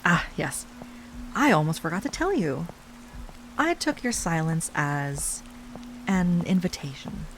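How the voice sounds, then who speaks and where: A woman playing an obsessive, lovesick stalker ex-girlfriend in an intimate audio roleplay monologue, sweet yet menacing, with a breathy delivery that drifts into whispers. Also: fairly low pitch, animated, measured pace, pauses often, poor audio. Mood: sweetly menacing. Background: rain and music.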